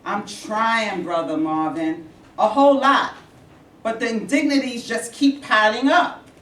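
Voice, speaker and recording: A woman's voice: on the high side, a little slow, a bit bright. A woman in a staged reading playing an impatient, exasperated civil-rights reverend. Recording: echoing room, distant mic, noise.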